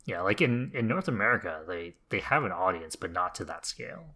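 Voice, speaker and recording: A man's voice: deep voice, animated, a little fast, pushed and bright. A man co-hosting a podcast, talking casually and informally with a teasing manner. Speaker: podcast co-host. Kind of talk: casual chat about video games.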